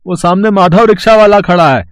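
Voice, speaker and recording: A man's voice: sits high, fairly quick, dry recording, natural voice. A man voicing a brusque, mocking rickshaw driver in a cartoon moral story, blunt and scoffing. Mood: irritated dismissal.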